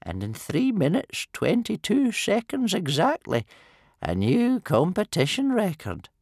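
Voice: mid-range voice, wildly swooping pitch, a little slow, darkish tone, dry recording, studio quality, pitched above the performer's own voice, full character voice. A storybook narrator voicing a sad, apologetic, wrinkly old man. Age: older.

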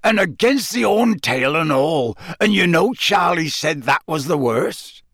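Guy playing a big warm country bloke, hoarse, excited, swoops up high, audiobook. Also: unhurried, no echo, studio quality, heavily acted. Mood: thrilled. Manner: excited, rough-spoken. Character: big, warm-hearted rustic man, hoarse and thrilled.

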